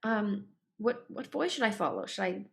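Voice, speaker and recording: A woman's voice: mid-range voice, lively intonation, moderate pace, creaky. Affirming woman podcast host. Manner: enthusiastic, affirming. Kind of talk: casual conversational interview.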